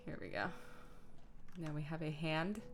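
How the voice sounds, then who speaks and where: A woman's voice: sits low, sing-song, measured pace, music in the background. A woman streamer chatting casually while she works at a computer, talking through small tasks in short asides. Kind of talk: casual livestream chat while editing images.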